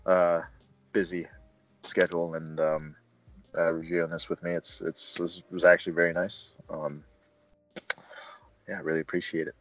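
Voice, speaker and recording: A man's voice: sits low, level tone, normal speed, pauses often, a bit bright. A man hosting a casual film review chat, talking in an informal, joking way. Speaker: review show host. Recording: slightly dull, roomy sound with faint music.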